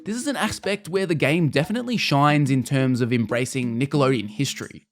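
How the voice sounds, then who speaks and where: A man's voice: mid-range voice, fairly quick. A man narrating a scripted video game review in a casual, wry manner with the odd mild swear.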